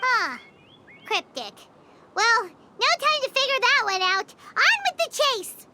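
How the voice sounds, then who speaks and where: A woman voicing a tiny, childlike fairy companion in a game, excitable and expressive. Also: extremely high pitch, slow pace, heavy background noise, heavily acted. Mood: indignant, determined. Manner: exclamatory, expressive. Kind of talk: English game dub voice acting.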